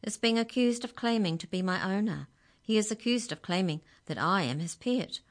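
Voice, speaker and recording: A woman voicing a small talking budgie in a storybook, high-pitched, earnest and insistent. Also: expressive, moderate pace, lightly acted. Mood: agitated, indignant. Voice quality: high-pitched, squeaky cry. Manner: agitated, insistent.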